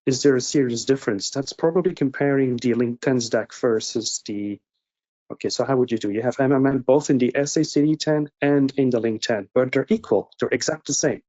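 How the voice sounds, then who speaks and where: A man's voice: mid-range voice, a little fast, mellow. A middle-aged man co-hosting a webinar over a video call, reading audience questions aloud. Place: indoor room on a video call. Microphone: video call microphone. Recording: dull, band-limited video-call audio.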